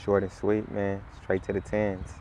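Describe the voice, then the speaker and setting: Raspy, laid-back man, low voice. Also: completely flat delivery, normal speed, crowd noise, poor audio. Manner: laid-back, rambling, heavy on "like" and "you know". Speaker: musician guest. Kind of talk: casual interview chat.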